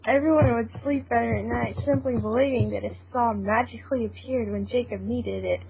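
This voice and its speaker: A woman's voice: steady tone, brisk, vocal fry. A woman narrates an amateur story reading in a slightly raised register. Her voice comes through a thin, phone-like connection with faint noise and small clicks behind it. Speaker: narrator in a group fan fiction reading. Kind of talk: scripted storybook narration mixed with casual chat. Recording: tinny, noisy low-grade voice chat line.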